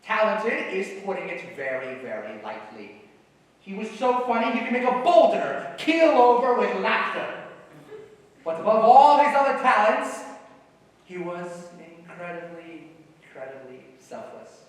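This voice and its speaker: An actor playing an eccentric, mischievous old comedy writer on stage, with theatrical, emphatic delivery. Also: male voice, high voice, very animated, measured pace. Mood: mock solemn. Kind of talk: stage acting in a one-act play. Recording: echoey hall from far off, noisy.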